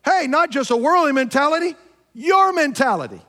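High-voiced fifty-year-old man preaching. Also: animated, normal speed. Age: 50s. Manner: emphatic preaching, swinging between loud peaks and low asides; addresses congregation directly with questions. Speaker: pastor. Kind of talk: sermon. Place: church sanctuary.

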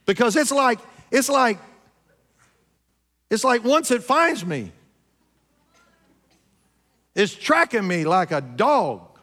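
High-voiced fifty-year-old man preaching. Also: sing-song, slow pace, long pauses. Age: 50s. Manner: emphatic preaching, swinging between loud peaks and low asides; addresses congregation directly with questions. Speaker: pastor. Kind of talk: sermon. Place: church sanctuary.

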